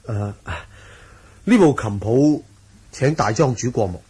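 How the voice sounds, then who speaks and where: A man's voice: medium pitch, lively intonation, slow pace, warm tone, slightly rough, exaggerated voice. A man acting a respectful, humble young swordsman in a Cantonese radio drama.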